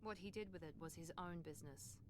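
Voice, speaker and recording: An actress playing a proud, unapologetic operative in a video game, composed and pointed. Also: female voice, fast, natural voice. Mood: cool, defiant. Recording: noisy, rumbling background.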